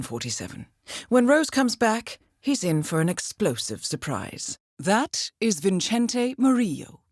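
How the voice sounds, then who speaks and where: An actress playing a composed, shrewd intelligence handler in a video game, briefing an assassin in a measured, formal way with dry understatement. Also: female voice, medium pitch, no room sound. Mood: dryly approving. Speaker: mission handler guiding an assassin. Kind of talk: scripted video game voice acting, briefing narration.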